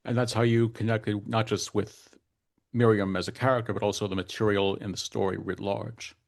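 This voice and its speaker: A man hosting a podcast interview, putting prepared questions in formal, measured wording. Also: on the low side, level tone, creaky.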